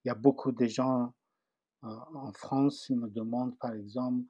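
A man from Iran with a Persian accent, giving a personal testimony in an interview and often pausing to search for French words. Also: medium pitch, steady tone, mellow, slightly rough, dry recording, highs cut off.

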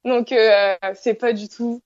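A woman's voice: fairly high pitch, flat delivery, slow, darkish tone, dull audio. A young woman from France, chatting casually as an interview guest from her apartment, with many fillers and restarts.

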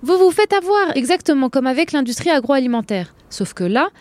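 A woman's voice: sits high, big pitch swings, a little fast, darkish tone, dry recording. An actress playing a brusque, sarcastic young woman doctor in a French audio drama. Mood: insistent. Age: young adult. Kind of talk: French audio fiction acting.

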